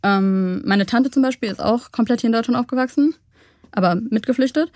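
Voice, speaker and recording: A young woman from Germany, a guest in an interview, speaking in a thoughtful, measured way with frequent pauses to find her words. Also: fairly high pitch, darkish tone, dry recording, studio quality. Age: young adult. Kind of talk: reflective interview talk.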